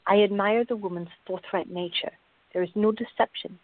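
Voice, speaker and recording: An actress playing a calm, patient, gently firm woman who reasons in measured tones in a radio drama. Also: mid-range voice, natural voice. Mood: reasoning. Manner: measured, placating, explanatory. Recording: tinny, band-limited, echoey room.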